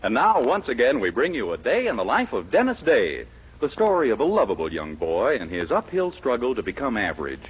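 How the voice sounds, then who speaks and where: A man's voice: medium pitch, huge pitch swings, a little fast. A man announcing in the polished, emphatic style of a 1940s radio host reading scripted copy. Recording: muffled, noisy 1940s radio broadcast.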